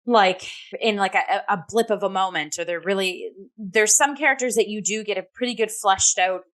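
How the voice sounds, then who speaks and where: A woman's voice: medium pitch, normal speed, a bit bright. A woman co-hosting a casual review chat, conversational and emphatic as she searches for words. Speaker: talk show co-host and theatre reviewer.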